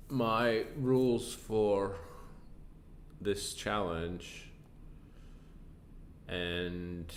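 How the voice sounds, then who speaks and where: A very low-voiced man with a stuffy, congested nose, tired from little sleep. He talks slowly and hesitantly, thinking aloud as he hosts a live stream, with faint room noise behind him. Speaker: trading stream host. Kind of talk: casual live stream chat.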